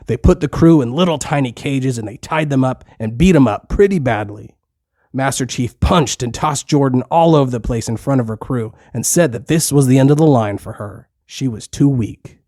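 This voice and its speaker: A man's voice: medium pitch, darkish tone, no room sound, clean studio sound. A man reading a scripted story for children as a narrator, in simple, plain language.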